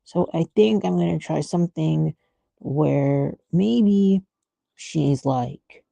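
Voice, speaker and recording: A woman with a very soft-edged, dark voice and a creaky fry talks through her art on a casual livestream. She muses aloud and lets thoughts trail off. The sound is close and dry. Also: low voice, slow pace, no room sound. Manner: musing, trailing off mid-thought. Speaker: artist streamer thinking aloud while drawing.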